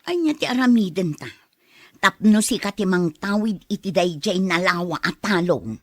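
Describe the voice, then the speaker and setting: A woman's voice: mid-range voice, animated, normal speed, dry recording, close to an everyday voice. A woman playing a greedy, persuasive wife who eggs on her husband in a radio drama. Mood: goading. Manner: insistent, persuasive.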